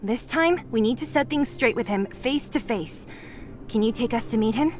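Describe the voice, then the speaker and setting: A woman playing a blunt, hot-headed young rebel fighter in a video game, defiant and forceful. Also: on the high side, lively intonation, moderate pace, soft background music, lightly acted. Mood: insistent.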